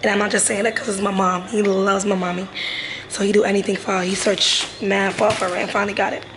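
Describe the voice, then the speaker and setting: A woman's voice: mid-range voice, level tone, fast. A young woman, vlogging casually to camera at home, rambling with slang and fillers. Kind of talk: casual vlog chat to camera. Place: home while traveling. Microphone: phone or camera mic with ring light. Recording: noisy ambient background.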